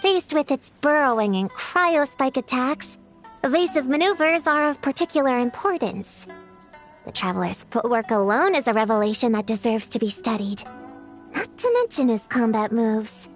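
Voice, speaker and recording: A high, very animated young girl played by a woman in an anime-style video game. She is earnest and bookish, with precise, wordy phrasing and a proud streak that flusters easily. Faint music plays behind her. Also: moderate pace, lightly acted. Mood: studious, focused.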